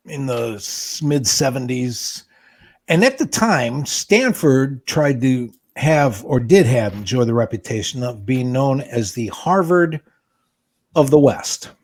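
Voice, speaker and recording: A man's voice: medium pitch, darkish tone. A man in his sixties co-hosting a podcast, ranting in an exasperated, indignant way during casual chat. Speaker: podcast co-host.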